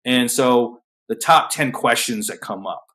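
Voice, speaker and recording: Brief: host, man, mid-pitched, steady unexcited delivery, lots of ums. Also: measured pace. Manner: self-described monotone, filler-heavy, restarts. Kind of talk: casual panel discussion. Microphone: video call.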